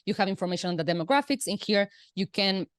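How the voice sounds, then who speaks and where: A woman's voice: mid-range voice, expressive, fast talker, no echo, studio quality. A woman whose first language is Spanish, speaking English as a guest in a casual interview, explaining at length with many "you know" and "right" fillers and restarts. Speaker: guest, Amazon seller.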